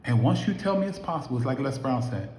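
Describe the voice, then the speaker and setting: Guy in his 50s preaching hustle, punchy, asks lots of questions, boomy roomy sound. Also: expressive, fast talker, darkish tone, a little hiss or hum. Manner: emphatic, preachy, rhetorical questions. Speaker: affiliate marketer vlogger. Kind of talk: motivational talk to camera. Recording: echoey room.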